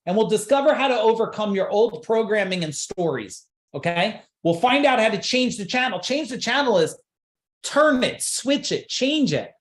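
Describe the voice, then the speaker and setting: A man's voice: high-pitched, lively intonation, moderate pace, echoey room. A man coaching a live online seminar, pushing emphatic rhetorical questions and repeating his points. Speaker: business coach.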